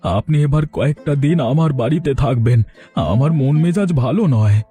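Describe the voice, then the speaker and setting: A man's voice: mid-range voice, animated, fairly quick, warm tone, soft background music, natural voice. A man voicing an effusive, hospitable village landlord in a cartoon, repeating his words. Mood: effusive, welcoming. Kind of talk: Bengali cartoon voice acting.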